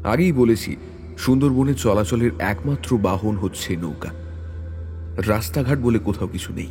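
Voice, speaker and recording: A man reading a hunting adventure as a dramatic audio story, in a measured, suspenseful way. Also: on the low side, darkish tone. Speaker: story narrator. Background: background music.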